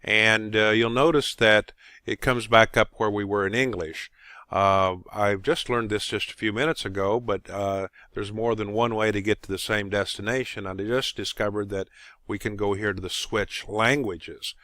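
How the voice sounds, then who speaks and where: Low-voiced man giving a software walkthrough. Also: steady tone, no room sound, studio quality. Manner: halting, thinking aloud as he demonstrates. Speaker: tutorial presenter. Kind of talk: informal screen-recorded software walkthrough.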